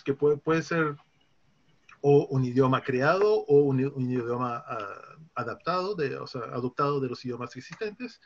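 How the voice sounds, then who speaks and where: A man from France, a guest on a casual podcast, explaining things calmly with frequent hesitations. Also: medium pitch. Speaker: guest, conference interpreter. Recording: dull lo-fi recording.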